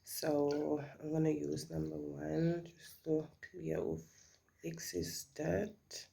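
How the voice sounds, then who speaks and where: Husky South African woman, gravelly chat. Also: deep voice, level tone, slow, warm tone. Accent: South African English. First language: Afrikaans. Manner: chatty, often drops to a whisper. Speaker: beauty vlogger. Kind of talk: casual makeup chit-chat.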